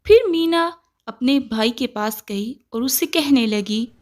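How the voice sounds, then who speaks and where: High-pitched woman narrating a children's story. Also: expressive, takes it slowly.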